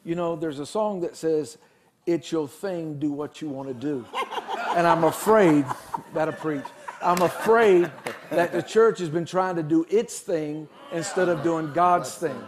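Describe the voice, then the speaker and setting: A middle-aged male pastor with a high-pitched voice preaches from a studio panel. He declaims his points like prophetic announcements. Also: unhurried, crowd noise. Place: large TV studio set with audience.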